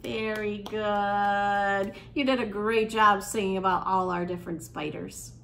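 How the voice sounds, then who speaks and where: A woman leading a storytime for young children, reading aloud in an animated, encouraging way and putting questions to her listeners. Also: medium pitch, a little slow. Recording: echoey room, clear background noise.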